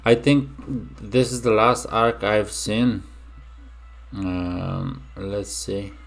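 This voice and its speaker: A man's voice: on the low side, fairly even intonation, extremely slow, creaky, faint music behind. A young man from Romania hosting a casual reaction video, musing aloud with many fillers and restarts.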